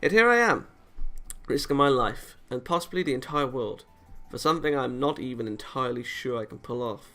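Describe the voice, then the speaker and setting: A man's voice: mid-range voice, animated, a little fast, a bit bright, faint music behind. A man reading a visual novel aloud dramatically with off-the-cuff reactions, sometimes tripping over words and rereading them.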